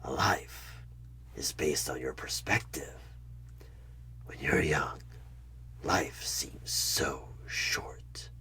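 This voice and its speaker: A man playing a sinister, smug evil tempter in a horror ASMR roleplay, speaking deliberately in a husky voice with dramatic pauses and frequent whispers. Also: a bass voice, sharp tone, heavily acted. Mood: brooding, philosophical. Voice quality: husky, breathy.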